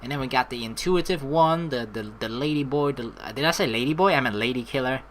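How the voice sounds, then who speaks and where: Bright-voiced man doing gaming commentary. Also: mid-range voice, quick pace, a little hiss or hum. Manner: joking, casually profane, rambling. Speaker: let's play host. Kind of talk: casual gaming commentary with read-aloud game text. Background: background music.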